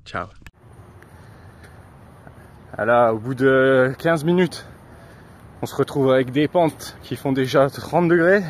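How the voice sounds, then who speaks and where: A thirty-year-old man from northern France giving a casual, improvised travel vlog with hesitant, drawn-out pauses. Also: mid-range voice, slight background noise. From Nord-Pas-de-Calais, northern France. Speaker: travel vlogger.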